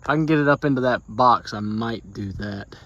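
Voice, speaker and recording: A man's voice: mid-range voice, a little fast, fairly bright tone, dull audio. An American man talking casually and thinking aloud as he hosts a hands-on truck tutorial in a folksy, informal way. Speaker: DIY truck vlog host.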